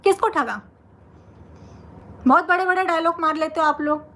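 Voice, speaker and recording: A woman's voice: very high-pitched, normal speed, a bit bright. A woman vlogger speaking confrontationally to her social media audience, indignant and combative, hammering points through repetition.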